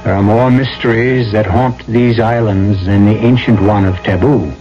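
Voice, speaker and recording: A man's voice: fairly low pitch, fairly even intonation, normal speed. A man hosting and narrating an old-time radio drama in a portentous, literary, scripted manner. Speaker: host and narrator. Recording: muffled vintage radio broadcast. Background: music.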